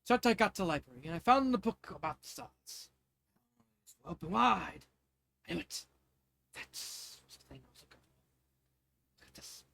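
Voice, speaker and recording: A man reading amateur fan fiction as an eager, wonder-struck young storyteller from an underground kingdom, in an earnest, halting way. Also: high-pitched, lively intonation, gravelly, sharp tone, full character voice. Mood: excited.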